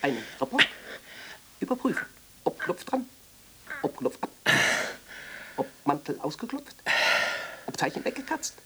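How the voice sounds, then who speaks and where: A woman in a radio drama playing an eccentric, exalted and fussy ascetic, breathy and theatrical, halting between muttering and exclaiming. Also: low voice, sing-song, a crowd in the background. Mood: fussy, interrogating. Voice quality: husky, breathy. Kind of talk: literary radio drama monologue.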